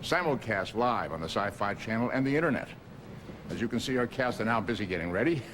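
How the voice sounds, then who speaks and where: A man's voice: on the low side, lively intonation, quick pace, a little hiss or hum. A man presenting as host in a scripted style. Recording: loud music under the voice.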